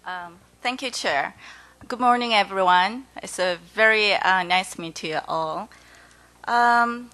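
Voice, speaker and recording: A woman with a Korean accent, whose first language is Korean, giving halting, hesitant remarks as a panelist at a conference forum. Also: mid-range voice, sharp tone, low-quality recording.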